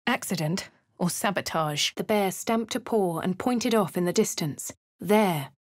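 A dry, posh English adventuress. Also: female voice, on the low side, lively intonation, measured pace, dry recording. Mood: wry. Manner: crisp, clipped, dryly sardonic. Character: posh young female adventurer, dry and wry. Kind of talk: video game character voice lines. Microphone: close studio mic. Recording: dry studio recording.

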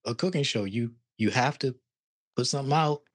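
A man's voice: medium pitch, a little fast, husky, dry recording. Man, 40s, coach on video call, blunt and pushing, dry close sound. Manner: direct, pushing, repeats words. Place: living room at home. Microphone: studio mic on arm, video call.

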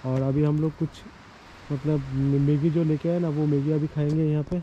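A man's voice: fairly high pitch, level tone, normal speed, dull audio, slight background noise. A man narrating his motorcycle trip outdoors in a loose, chatty vlog style, full of fillers. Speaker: motorcycle travel vlogger. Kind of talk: casual travel vlog narration. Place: outdoors on mountain roads.

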